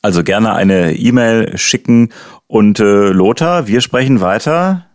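Calm male podcast host. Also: on the low side, a little slow, no echo, studio quality. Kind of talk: interviewing.

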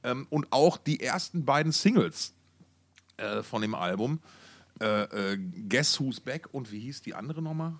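A man's voice: medium pitch, lively intonation, measured pace. A middle-aged man co-hosting a music podcast in casual, unscripted chat, enthusiastic and colloquial, often repeating his words.

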